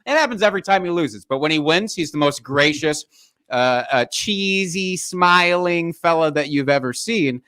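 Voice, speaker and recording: A man's voice: on the high side, animated, moderate pace, sharp tone, clean tone. A man co-hosting a casual sports chat, wry, laughing often and swearing freely. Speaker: podcast co-host.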